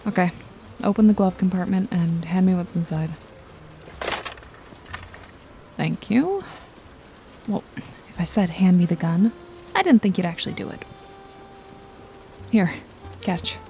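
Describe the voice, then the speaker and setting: A woman playing a mellow, sardonic noir detective. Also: fairly low pitch, animated, a little slow, long pauses. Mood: cool, teasing. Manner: sardonic, foul-mouthed, nonchalant, teasing. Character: wry, jaded female detective and hired killer, casually menacing. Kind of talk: noir ASMR roleplay, intimate one-sided dialogue to listener. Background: jazzy music and rain effects.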